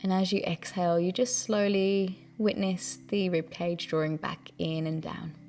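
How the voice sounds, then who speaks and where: A woman leading a guided breathing meditation, giving gentle, soothing instructions in a calm, unhurried way. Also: on the low side, faint music behind.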